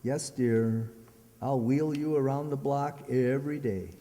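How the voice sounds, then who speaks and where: A man's voice: medium pitch, steady tone, measured pace, mellow, close to an everyday voice. A man playing a patient, wryly devoted husband in an old-time radio comedy, performed live in a hall. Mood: wry, indulgent. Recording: live hall recording with long reverb.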